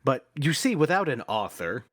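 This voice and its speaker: A man's voice: medium pitch, very animated, normal speed, fairly bright tone. A man playing a brusque, sceptical and commanding theatre manager in an audio stage drama. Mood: dismissive. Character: blustery, sceptical theatre manager, gradually tempted.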